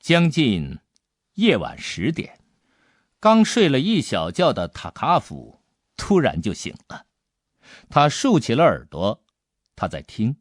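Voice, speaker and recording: A man narrating an audiobook adventure story in an expressive, dramatic storytelling manner. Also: medium pitch, takes it slowly, long pauses, dry recording, clean studio sound.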